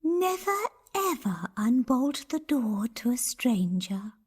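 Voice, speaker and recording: A woman plays a caring storybook nanny goat. Her voice is soft, breathy and fairly high, with slow, lilting phrases. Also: sing-song, heavily acted. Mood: tender, cautioning. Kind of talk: children's storybook character acting.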